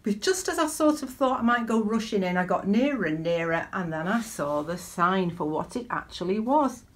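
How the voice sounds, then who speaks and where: A middle-aged woman speaks at a medium pitch, as a deacon leading worship from home. Her delivery is measured and conversational, moving between everyday anecdote and reflective preaching, with rhetorical questions to the listener. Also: lively intonation. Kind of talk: conversational sermon with personal anecdotes. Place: small living room at home, indoors.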